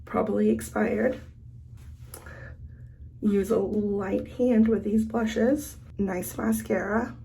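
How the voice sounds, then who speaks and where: Woman, beauty vlogger, sick, hoarse, gravelly fry, darker mellow tone, casual makeup chat. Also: mid-range voice, steady tone, takes it slowly, long pauses, slight background noise, low-quality recording. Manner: chatty, thinks aloud while applying makeup. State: sick and losing her voice.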